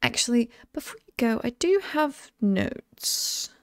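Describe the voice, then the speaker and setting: A woman's voice: fairly high pitch, big pitch swings, slow pace, dry recording, clean studio sound, full character voice. A British woman playing a cheerful, chatty slasher killer in an ASMR roleplay, teasing and casual with sweet menace. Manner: playful, casual, teasing, amused.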